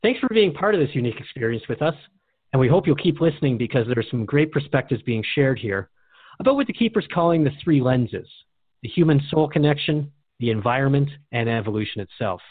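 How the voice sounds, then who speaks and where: A man's voice: mid-range voice, big pitch swings, brisk, no echo. A man hosting a radio show in a conversational, earnest and reflective way. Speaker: radio host. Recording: dry, phone-like narrow band.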